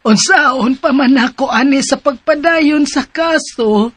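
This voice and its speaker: An actress in a radio drama playing a grieving widowed mother who speaks slowly and heavily. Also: high voice, a bit bright, no echo. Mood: sorrowful. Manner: slow, measured, heavy-hearted delivery.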